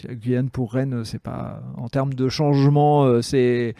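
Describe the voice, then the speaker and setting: A man's voice: medium pitch, fairly even intonation, takes it slowly, gravelly, warm tone, clean tone, no echo. A man hosting a podcast in a chatty, rambling, enthusiastic way. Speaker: podcast host and interviewer. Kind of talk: casual conversational interview.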